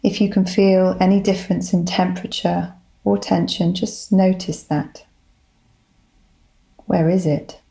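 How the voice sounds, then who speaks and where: Slow, calm woman guiding meditation. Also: fairly low pitch, creaky, rough recording. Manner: gentle, calm, soothing instruction. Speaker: psychologist, guided meditation leader. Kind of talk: guided body scan meditation.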